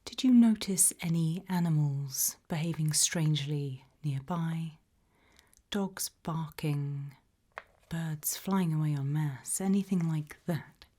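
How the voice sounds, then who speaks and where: A British woman whispers in a low, mellow, breathy register. She takes her time, putting careful, calm questions as an interviewer in an ASMR roleplay. The delivery is soft and measured throughout. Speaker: ASMR roleplayer playing an abduction investigator.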